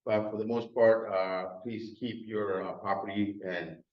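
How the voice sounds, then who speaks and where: A police officer giving a crime update at a neighborhood meeting, halting with many fillers, speaking from across a hall. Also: male voice, fairly low pitch, fairly even intonation. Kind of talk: community safety report. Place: indoor community hall. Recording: distant echoey muffled room audio.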